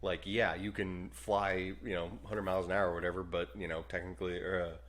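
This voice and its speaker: A man's voice: low-pitched, little pitch movement, fairly quick, fairly bright tone. A man co-hosting a casual podcast, laid back and rambling, often chuckling between his points. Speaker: podcast co-host. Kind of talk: casual movie review chat.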